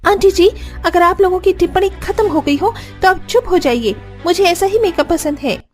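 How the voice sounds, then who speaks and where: A woman's voice: very high voice, lively intonation, moderate pace, soft background music. A woman voicing a haughty, self-important young bride in a cartoon story. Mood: irritated. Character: haughty young bride, vain and quick to snap.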